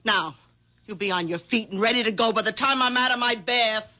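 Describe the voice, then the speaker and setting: An actress playing a domineering, scolding middle-aged wife with a bossy, nagging manner in a 1970s radio drama. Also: female voice, fairly high pitch, a little fast, music in the background, natural voice. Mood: brisk, irritated. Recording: dull 1970s radio recording.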